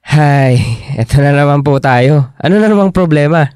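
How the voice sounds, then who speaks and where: A man acting a patient, concerned young boyfriend in a radio drama, gentle and halting, with a Filipino accent. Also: a little high, clear, resonant tone, no room sound, studio quality, natural voice. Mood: mildly exasperated.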